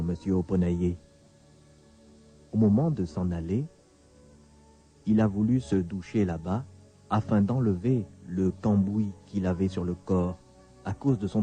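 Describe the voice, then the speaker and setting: A man's voice: sits low, dark tone. Suspenseful male storyteller over music. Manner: deliberate short phrases with suspenseful pauses. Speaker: radio drama narrator. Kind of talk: dramatic scripted storytelling.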